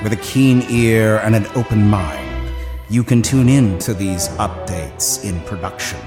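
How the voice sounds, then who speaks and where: A man playing a mysterious, ominous anthology narrator in a theatrical podcast intro, portentous and hushed. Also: fairly low pitch, animated, unhurried, echoey room, over music, pitched below the performer's own voice.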